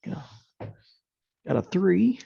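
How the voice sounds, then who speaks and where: Very gravelly man at a game table. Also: high voice, big pitch swings, takes it slowly, no room sound, lo-fi sound. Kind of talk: casual game-table chat.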